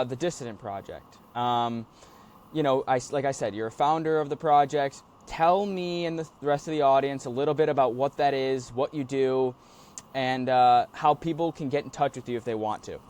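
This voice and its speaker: A man's voice: mid-range voice, normal speed, darkish tone, clear, resonant tone. A man hosting an interview, asking casual, hesitant questions full of fillers. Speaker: podcast host. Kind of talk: interview conversation.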